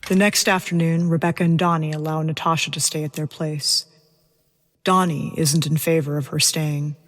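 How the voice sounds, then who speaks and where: A woman's voice: low-pitched, level tone, moderate pace. A woman reading scripted narration for an audio drama in a detached, factual manner.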